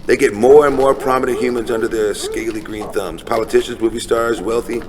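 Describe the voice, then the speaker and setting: An actor playing a streetwise, insistent, world-weary homeless conspiracy believer in an audio drama. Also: male voice, medium pitch, brisk, natural voice. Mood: urgent, earnest. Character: streetwise, conspiracy-minded homeless man, an earnest mentor. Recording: reverberant hall with steady noise.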